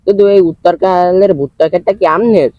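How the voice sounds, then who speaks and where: A man plays a young prankster, wheedling with false politeness and pushing hard. He talks fast in a high voice, recorded dry and slightly muffled for a comic dub. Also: no room sound, natural voice. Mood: mock-polite wheedling. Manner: mock-polite, insistent. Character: cheeky young prank caller, wheedling then threatening. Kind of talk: comic prank-call dubbing sketch.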